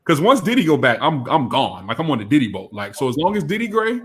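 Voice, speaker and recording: An animated man in his thirties banters on a casual panel show. His voice sits a little high and swings widely in pitch. He laughs often as he talks. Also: fast talker. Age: 30s. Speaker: co-host.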